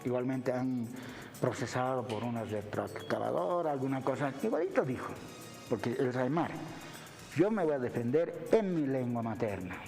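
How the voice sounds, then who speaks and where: A Bolivian man speaks as a university lecturer in an interview, in a mid-pitched voice. He explains didactically and often tags his phrases with short questions. The sound has a long hall-like reverb with faint music underneath. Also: expressive, normal speed, gravelly, a little mellow. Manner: didactic, punctuates with tag questions. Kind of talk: explanatory television interview talk.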